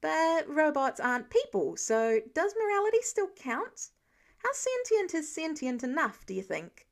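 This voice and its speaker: A woman's voice: high-pitched, sing-song, measured pace, voice pushed up, heavily acted. Woman voicing an eager, rambling teenage boy superhero. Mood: curious, pondering. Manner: chatty, rambling, enthusiastic.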